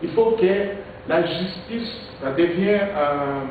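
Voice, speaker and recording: Congolese politician from Kinshasa, man, halting answers full of repeats, recorded far off in a noisy echoey room. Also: high voice, lively intonation. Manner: halting, repeats words, many fillers. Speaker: politician, presidential hopeful. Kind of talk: interview answers. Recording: echoey, distant, phone-like with noise.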